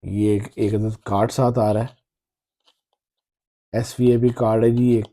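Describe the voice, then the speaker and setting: A man giving casual, halting commentary as a tech reviewer unboxing a phone, often repeating his phrases. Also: fairly low pitch, little pitch movement, warm tone.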